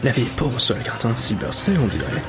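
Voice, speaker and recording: A cocky thief acted by a man in an audio drama. He mutters and swears to himself, his pitch leaping around in an animated way. Music is clearly audible behind him. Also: fast, natural voice. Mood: sly, amused. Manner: muttering, foul-mouthed, animated. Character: cocky, cursing male burglar on a job. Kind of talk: French audio drama acting.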